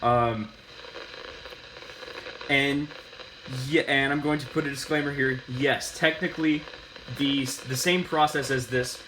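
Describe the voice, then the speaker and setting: A man giving a casual, unscripted how-to demonstration, halting and often correcting himself as he talks. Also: medium pitch, steady tone, background noise. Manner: halting, self-correcting delivery. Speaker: host of a hobbyist demonstration video.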